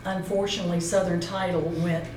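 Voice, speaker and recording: A woman's voice: lowish voice, level tone, a little fast, a little mellow. A woman presenting an informal instructional talk, explaining step by step and checking points with a colleague. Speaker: seminar presenter. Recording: roomy echo, distant mic, faint noise.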